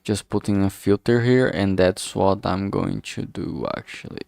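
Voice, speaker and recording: A man with a slightly low but clean, smooth voice talks his way through a solo work stream. He speaks very slowly, rambling and losing his sentences, with frank, casual swearing. The sound is close and dry. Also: fairly even intonation, a little mellow, dry recording. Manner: halting, rambling, trailing off mid-sentence. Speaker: solo streamer developing a visual novel.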